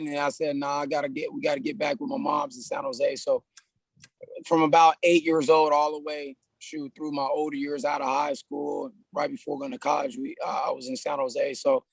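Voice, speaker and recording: A relaxed man in his thirties with a gravelly edge and a slightly high pitch, chatting as a podcast guest. He talks loosely, restarts his sentences and drops in "man" as he goes. The sound is dry and close. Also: no echo, rough recording. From California, US. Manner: laid-back, frequent "man" and restarts. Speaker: podcast guest, personal trainer. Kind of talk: casual conversational interview.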